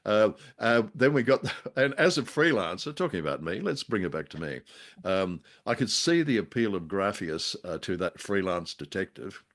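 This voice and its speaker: A man with a fairly low voice chats as co-host of a show about vintage radio drama. His delivery is casual and halting, with dry wit and a habit of drifting off into asides. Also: sing-song, a bit bright. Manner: hesitant, wry, digressive. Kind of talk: casual chat, review commentary.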